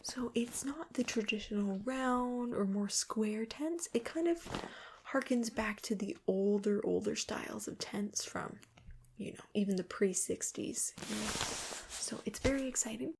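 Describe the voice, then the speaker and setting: A woman speaking softly and often in a breathy whisper, gently and a little hesitantly, as a store clerk in an ASMR role-play. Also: a little high, measured pace, slight background noise. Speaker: host role-playing a camping store clerk. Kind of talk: ASMR role-play, soft-spoken sales help.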